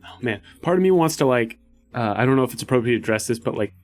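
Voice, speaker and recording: A man's voice: medium pitch, animated, fast, soft background music. A man playing a tabletop game, talking through ideas in a casual, conversational way. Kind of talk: casual strategizing chat.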